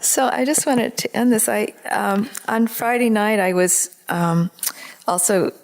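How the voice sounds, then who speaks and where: A woman's voice: medium pitch, unhurried. A woman in conversation as a student questioner, sharing reflectively and tentatively as she searches for her words.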